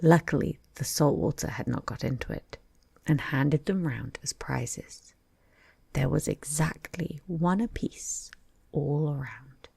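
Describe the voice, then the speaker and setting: Female bedtime storyteller, low register, husky and creaky, scripted narration. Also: takes it slowly, darkish tone, no room sound.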